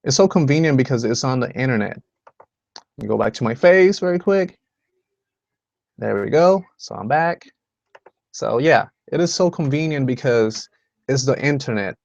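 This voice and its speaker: A man's voice: medium pitch, expressive, normal speed, creaky, long pauses, a little mellow, dry recording, dull audio. A man from the Dominican Republic hosting a motivational video call, talking in a rambling way with many fillers and restarts. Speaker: host.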